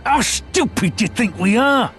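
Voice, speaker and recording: An actor playing a scornful, incredulous imperial enforcer in a video game cutscene. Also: male voice, very high voice, expressive, moderate pace, a bit bright, faint music behind.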